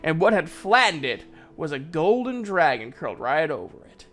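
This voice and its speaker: Higher-pitched man telling a folksy fantasy tale. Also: big pitch swings, moderate pace, fairly bright tone. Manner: folksy, conversational. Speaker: narrator, voice actor. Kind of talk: first-person storytelling, dramatic fantasy audio reading.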